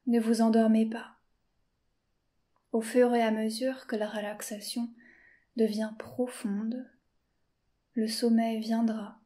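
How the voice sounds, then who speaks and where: A woman guides a yoga nidra session, her voice slow and unhurried. Her tone is mellow and dark, and she keeps her pitch steady and flat. She often drops to a soft whisper. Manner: slow, soft-spoken and calm, with long pauses. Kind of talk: guided meditation.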